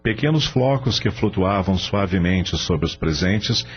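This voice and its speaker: A man with a low voice and Brazilian accent narrates a radio drama. His pitch moves widely through the scripted storytelling. The recording is dull, with faint music behind him. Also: animated, unhurried. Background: soft background music.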